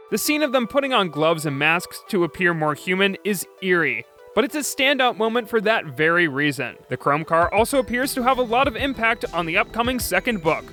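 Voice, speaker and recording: Bright, high-pitched man narrating a review. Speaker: video host, cartoon reviewer. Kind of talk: scripted video essay narration. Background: background music.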